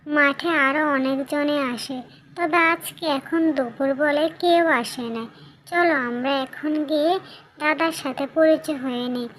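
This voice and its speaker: A woman's voice: high-pitched, slow, a bit bright, slight background noise. A woman voicing a wistful, eager little village girl in a children's cartoon. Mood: cheerful, eager.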